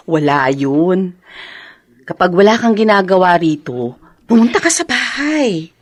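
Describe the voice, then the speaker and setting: Radio drama role: talkative Filipina fish seller, loyal friend, expressive and teasing, very animated intonation. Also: female voice, on the low side, unhurried, no room sound. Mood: reassuring. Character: chatty, kindly village fish vendor, a mother and loyal friend.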